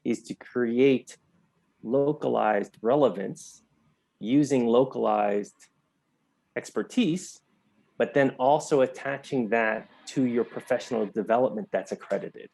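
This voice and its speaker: An American man giving thoughtful interview answers in a measured, explanatory way, stressing key words. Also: mid-range voice, vocal fry, darkish tone, husky, dry recording. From United States. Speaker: interview guest, health entrepreneur.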